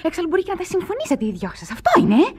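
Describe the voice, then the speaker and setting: A woman's voice: very high voice, wildly swooping pitch, mellow, a little hiss or hum, full character voice. An actress playing an impulsive, naive, lovestruck girl of twenty in a Greek radio theatre production. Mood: jealous, suspicious.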